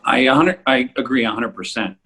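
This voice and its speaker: A man in a casual, halting discussion, speaking appreciatively as co-creator of an audio drama podcast. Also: mid-range voice, a bit bright, slightly rough. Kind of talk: casual discussion of their own work.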